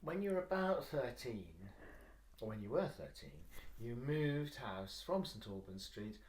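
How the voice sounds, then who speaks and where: A woman with a low voice asks interview questions. Her pitch moves about in a lively way as she checks details. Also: unhurried, slightly rough. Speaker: oral history interviewer.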